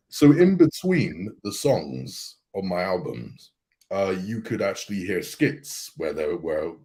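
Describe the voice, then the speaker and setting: A man with an extremely deep voice, chatting as a guest on a casual show. He tells his story in a relaxed, rambling way and laughs easily. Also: takes it slowly. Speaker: guest voice actor and YouTuber. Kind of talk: casual chat.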